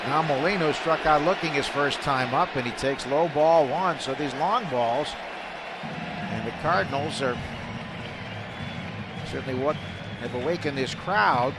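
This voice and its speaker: A man with a fairly high voice and an American accent calls a baseball game live for radio. He is excitable on big plays and conversational between pitches, with plainly audible stadium noise behind him. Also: a little slow, long pauses. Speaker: radio baseball play-by-play announcer. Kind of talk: live baseball play-by-play commentary. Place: ballpark broadcast booth.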